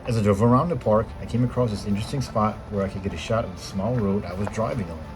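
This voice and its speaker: Low-voiced American man narrating over music. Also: fast talker. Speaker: video host and film photographer. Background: background music.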